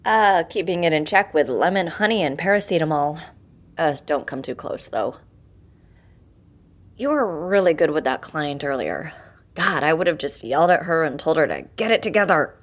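A frank, friendly workmate under the weather with a cold. Her voice sits low, and she talks plainly in an audio drama style. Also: lively intonation, moderate pace. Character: blunt but friendly woman colleague, down with a cold.

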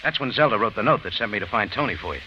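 An American actor playing a tough, sardonic private investigator in old radio drama style, with clipped hard-boiled delivery. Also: male voice, on the low side, expressive, rapid-fire, sharp tone. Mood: probing. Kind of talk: 1940s-50s radio drama acting. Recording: muffled old radio recording, faint noise.

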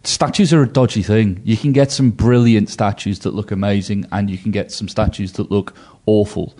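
A man's voice: lowish voice, fairly even intonation, moderate pace, warm tone. A man in a casual football chat, blunt and opinionated, often restarting his sentences as he rambles.

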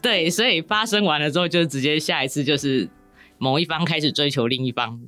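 A woman's voice: low voice, brisk, fairly bright tone, soft background music. A woman with a Taiwanese Mandarin accent chats casually and candidly as a guest novelist, joking often and poking fun at herself. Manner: self-deprecating, joking, giggly. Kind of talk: casual, candid podcast chat.

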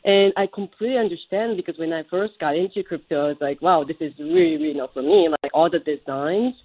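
Through slightly muffled, lo-fi audio, a woman with a low voice answers interview questions. Her delivery is conversational and halting as she searches for the right words. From Japan. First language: Japanese. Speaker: interview guest, startup co-founder.

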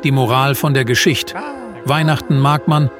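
A man's voice: mid-range voice, steady tone, normal speed. A man reading scripted storybook narration as narrator of a comic Christmas audio play. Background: music.

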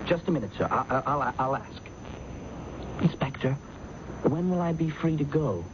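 An actor playing a timid, flustered office worker in a radio drama, hesitant, deferential and stammering. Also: male voice, fairly high pitch, animated, normal speed, lightly acted. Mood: flustered, anxious. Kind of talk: old-time radio drama acting. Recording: dull, noisy old radio broadcast.

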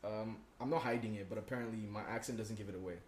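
A man's voice: lowish voice, fairly even intonation, very fast, slight background noise. A man from Nigeria with a Nigerian accent, ranting emphatically in casual chat and firing off rhetorical questions. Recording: roomy echoey room.

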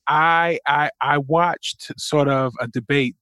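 A man's voice: fairly high pitch, steady tone, slow pace, sharp tone, clear, resonant tone, no room sound. A middle-aged man from Queens, a college president telling his life story as a guest in a podcast interview, earnest and reflective, with fillers and restarts. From Queens, New York.

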